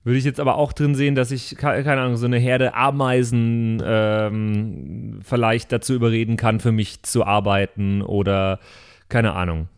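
A man's voice: mid-range voice, level tone, moderate pace, clean tone. A man chatting casually as a player on a tabletop role-playing show. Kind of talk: casual group chat.

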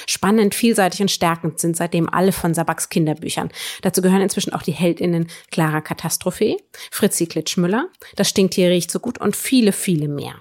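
A woman's voice: lowish voice, a little mellow. A woman hosting a book podcast, giving recommendations in an enthusiastic, emphatic way. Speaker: book podcast host and reviewer. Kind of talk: book recommendation, partly scripted, partly conversational.

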